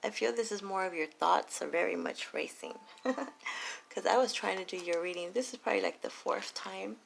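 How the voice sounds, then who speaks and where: A woman reading tarot late at night, talking softly and low and slipping into whispers. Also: normal speed, gravelly, a little hiss or hum, rough recording. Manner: soft and low, drifting into whispers. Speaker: tarot reader.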